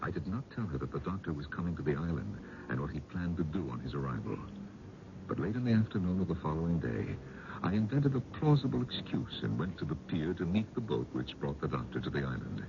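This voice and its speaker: Very deep, gravelly gentleman narrator. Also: sing-song, full character voice. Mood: sober, narrating. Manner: measured, formal. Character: sober, inquiring gentleman narrating his own mystery. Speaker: actor and narrator in radio mystery drama. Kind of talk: 1970s radio drama narration and dialogue. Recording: dull, noisy old radio broadcast.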